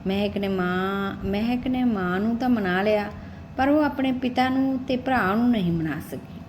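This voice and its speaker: ਸ਼ੋਰ ਵਾਲੀ ਰਿਕਾਰਡਿੰਗ ਵਿੱਚ ਪੰਜਾਬੀ ਲਹਿਜ਼ੇ ਵਾਲੀ ਕਹਾਣੀਕਾਰ ਔਰਤ. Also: female voice, medium pitch, normal speed, darkish tone. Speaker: storyteller. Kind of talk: scripted audiobook-style story narration. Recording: clearly noisy recording with background ambience.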